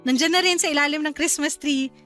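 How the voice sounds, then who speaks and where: An eager young Filipino wife and new mother in a radio drama. Her voice is high and cheerful, with music playing along. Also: a little fast, pushed and bright.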